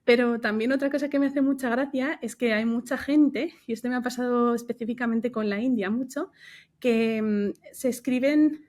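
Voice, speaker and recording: Higher-voiced woman chatting. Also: steady tone, normal speed, darkish tone. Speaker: podcast guest, recruiter. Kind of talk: casual conversational anecdotes.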